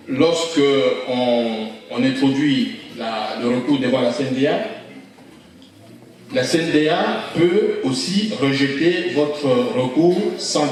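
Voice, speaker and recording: A man with a Congolese French accent speaks as a lawyer at a public conference, explaining points deliberately and didactically. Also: medium pitch, a little hiss or hum. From Democratic Republic of the Congo. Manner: deliberate, didactic, rhetorical questions. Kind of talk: public talk, explanatory speech. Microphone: handheld or event microphone. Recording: very echoey hall, far from mic.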